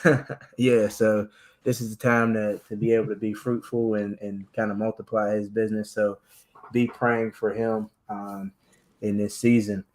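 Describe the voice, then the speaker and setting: A man hosting a casual chat about sports and faith, talking in halting bursts with many fillers and restarts. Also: on the low side, level tone, crowd noise, rough recording. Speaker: podcast host.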